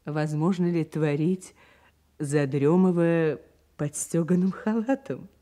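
An actress playing a gracious, teasing society countess in a radio drama, genteel and drawling. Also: female voice, sits low, takes it slowly, mellow. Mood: gracious curiosity. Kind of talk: Soviet radio drama acting.

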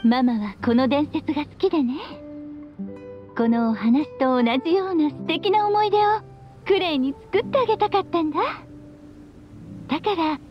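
Woman voicing a high-pitched, loving witch mother. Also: normal speed, pauses often, highs cut off, close to an everyday voice. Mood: tender, nostalgic. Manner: tender, storytelling, with playful teasing asides. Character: playful, loving, long-lived witch mother addressing her child. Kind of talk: Japanese anime game voice acting. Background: background music.

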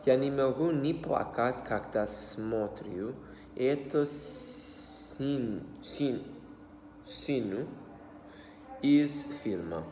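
A man in his thirties with an American accent, practicing a new language aloud at his desk, repeating words slowly and haltingly. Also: medium pitch, animated, mellow, a little hiss or hum. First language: English. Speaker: language learner. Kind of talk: self-study vlog, reading phrases aloud. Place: small room indoors. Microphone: laptop webcam at desk. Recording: roomy echo with long reverb.

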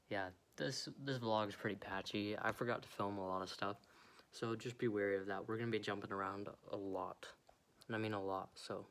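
A young man vlogging casually on a phone held at arm's length, joking and chattering quickly. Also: fairly low pitch. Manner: goofy, jokey, hurried chatter. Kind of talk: casual vlog. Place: house rooms and outdoors at night.

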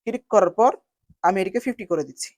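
A woman narrating a step-by-step software tutorial in an instructional manner, spelling out each step in repeated phrasing. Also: medium pitch, fast talker, dry recording, clean studio sound. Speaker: video editing tutorial host.

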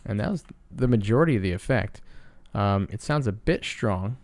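A man with a lowish, gravelly voice narrates a casual tutorial. He explains things in a low-key way, recorded dry and close with little room sound. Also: lively intonation, moderate pace, a little mellow, no room sound. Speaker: sound designer, tutorial presenter.

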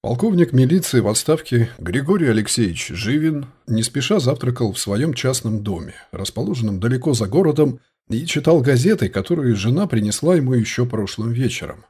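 A man's voice: medium pitch, expressive, brisk, few pauses, warm tone, slightly rough. A man reading a detective novel aloud as scripted audiobook narration.

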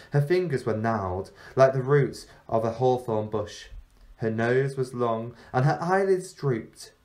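A man reading a story aloud like a teacher, in measured phrases with deliberate pauses. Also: mid-range voice, darkish tone. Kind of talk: storybook narration.

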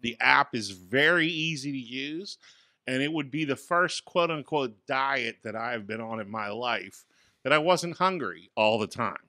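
A man in his forties, co-hosting a laid-back tech podcast, talks in an easygoing, self-deprecating way. His voice is bright and crisp. The sound is dry and close on a studio boom mic in a small treated room. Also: animated, a little slow, no echo. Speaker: podcast co-host. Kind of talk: casual tech podcast chat. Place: small home studio with acoustic panels. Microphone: studio mic on boom arm.

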